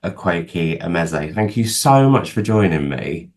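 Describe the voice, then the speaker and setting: A man with a very low voice hosts a book channel and interviews an author over a video call. His questions run long and wind about, full of open excitement about the book. He sounds eager, almost starstruck. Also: unhurried. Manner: gushing, long rambling questions.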